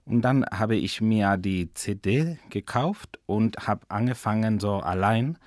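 This Spanish-accented man in his forties speaks German as a second language, earnest and enthusiastic. His voice sits somewhat low and he takes his time, unhurried and deliberate. The sound is close and dry, with no room echo. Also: darkish tone. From Spain. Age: 40s. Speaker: German teacher. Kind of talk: personal portrait interview.

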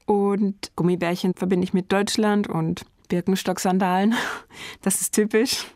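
A woman in her thirties from Germany, a German teacher answering portrait interview questions in a thoughtful, measured way, enthusiastic about teaching. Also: medium pitch, steady tone, a little mellow, no room sound, clean studio sound.

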